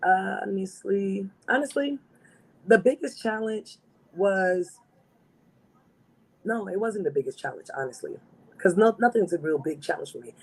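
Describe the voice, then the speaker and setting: A woman artist with a raspy voice, answering interview questions in a confident, emphatic way. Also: medium pitch, lively intonation, measured pace, long pauses, low-quality recording. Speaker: guest artist.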